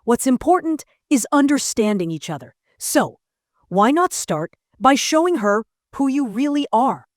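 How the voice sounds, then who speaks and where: A woman's voice: sits high, sing-song, unhurried, dry recording, clean studio sound. A woman playing a confident, teasing teenage girl who talks frankly and playfully to a friend in an anime-style dubbed story. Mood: frank, encouraging.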